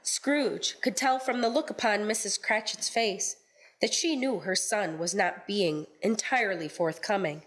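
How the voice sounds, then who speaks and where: A woman narrating a radio play in a measured storytelling manner from a script. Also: medium pitch, rough recording.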